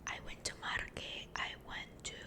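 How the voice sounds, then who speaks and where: A young woman whispering a rambling story close to the mic, softly repeating words to herself. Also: unhurried. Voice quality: unvoiced whisper.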